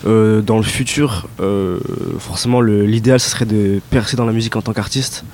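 A young man giving casual, hesitant interview answers with lots of fillers and relaxed slang. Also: fairly low pitch, normal speed, slight background noise. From Italian descent, raised in France. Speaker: rapper interviewed by students.

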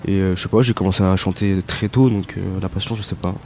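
A young man giving casual, hesitant interview answers with lots of fillers and relaxed slang. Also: low voice, fast, a little hiss or hum. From Italian descent, raised in France. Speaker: rapper interviewed by students.

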